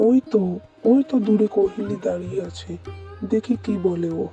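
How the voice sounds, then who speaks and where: A woman's voice: mid-range voice, moderate pace, dark tone, distant mic, lo-fi sound, soft background music, lightly acted. A woman voicing an earnest, devoted young man in love in a melodramatic, soothing cartoon manner. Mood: bewildered.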